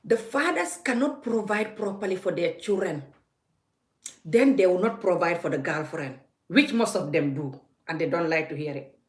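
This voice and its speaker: A woman's voice: fairly low pitch, animated, moderate pace. A woman vlogging in a forthright, scolding way, speaking straight to the viewer as she makes her case. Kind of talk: impassioned vlog rant. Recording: echoey room.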